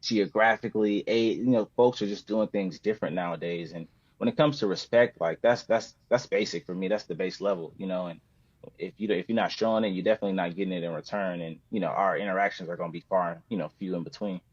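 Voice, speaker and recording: Low-voiced American man, roomy sound. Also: fast talker, breathy edge, lo-fi sound. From US West Coast. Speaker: poet, podcast guest. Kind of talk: poetry reading and casual chat.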